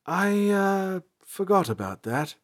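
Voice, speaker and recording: Man playing a poised, formal fantasy ranger, raised pitch. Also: sing-song, slow pace, heavily acted. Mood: sheepish. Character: poised, courteous adult male ranger.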